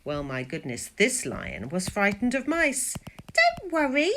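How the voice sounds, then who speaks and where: Female storybook reader, unhurried, very varied intonation, dramatic emphasis for young listeners. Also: lowish voice, wildly swooping pitch, a bit bright, a little hiss or hum. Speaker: primary school teacher reading a story. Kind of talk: expressive children's storybook reading.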